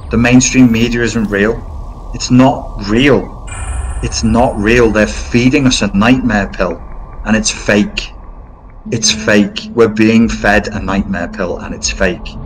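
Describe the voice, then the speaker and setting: Lowish guy voice, blunt and repeating himself for emphasis, clear noise behind. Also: rough recording. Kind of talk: informal motivational monologue.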